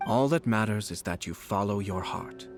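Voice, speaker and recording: Casting: man playing a diplomatic game nobleman, lowish voice, formal and measured, a touch sly, music underneath. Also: natural voice. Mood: sincere. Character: composed, courteous nobleman official, subtly sly. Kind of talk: video game voice acting, scripted dialogue.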